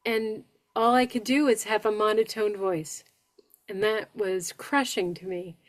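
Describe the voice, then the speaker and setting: Slow, deliberate woman with aphasia. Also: mid-range voice, steady tone. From New York State. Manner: slow, deliberate speech with careful pronunciation after aphasia. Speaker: support group guest speaker. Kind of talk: sharing personal experience with a support group.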